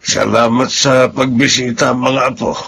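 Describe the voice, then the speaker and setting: An actor playing a kindly, humble old grandfather in a Filipino radio drama, with a gravelly voice and a gentle, grateful manner. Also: mid-range voice, steady tone, measured pace, background noise. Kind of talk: Filipino radio-drama acting and first-person narration.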